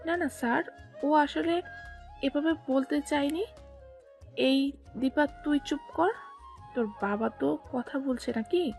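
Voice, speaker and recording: A woman's voice: high voice, takes it slowly, creaky, long pauses, a little mellow, faint music behind, heavily acted. A woman playing a scheming middle-aged stepmother, scolding and wheedling, in an audio story drama. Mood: placating. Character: scheming middle-aged stepmother, sharp with girls, placating to authority.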